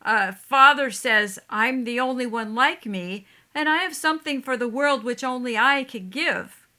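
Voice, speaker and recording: A woman's voice: a little high, measured pace, pushed and bright. A woman playing a playful, trusting, cheerful young boy with an eager, guileless way of talking in a stage play. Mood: guileless, sincere.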